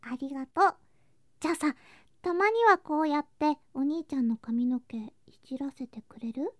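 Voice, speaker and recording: A woman voicing a sweet, affectionate younger girl cousin in a Japanese ASMR situation drama, in a cutesy, clingy manner with soft whispery touches. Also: high-pitched, moderate pace, lightly acted. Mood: doting.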